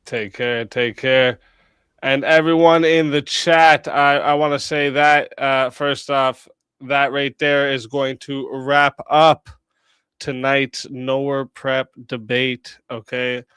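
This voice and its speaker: A man hosting and moderating a debate stream, wrapping up with rambling thanks to the chat, his voice going hoarse as he gets sick. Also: mid-range voice, level tone, slow pace, fairly bright tone, no echo. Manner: rambling, many fillers, thanks the chat at length. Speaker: debate show host and moderator. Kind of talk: casual livestream wrap-up.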